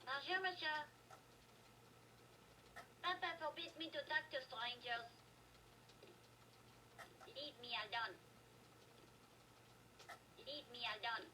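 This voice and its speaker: A woman's voice: sits high, slow pace, pauses often, sharp tone, recorded from a distance, slight background noise, exaggerated voice, poor audio. A woman playing a sheltered young French girl in a video game, prim and wary.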